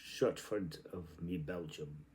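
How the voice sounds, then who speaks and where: A man's voice: low-pitched, slow, a little mellow, low-quality recording. A man reading literary prose aloud in a theatrical, playful and exclamatory way. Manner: playful, exclamatory delivery with sudden outbursts. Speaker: reader.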